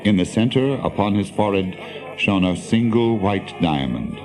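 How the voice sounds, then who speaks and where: A man narrating a fantasy audio drama in a measured, literary storybook style. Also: on the low side, expressive, over music.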